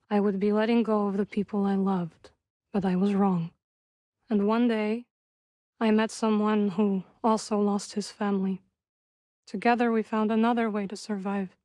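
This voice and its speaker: A woman playing a solemn, guarded young witch warrior in a video game, dryly wry, with measured, formal phrasing. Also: mid-range voice, mellow, dry recording, lightly acted. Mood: solemn, gentle. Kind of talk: video game character dialogue.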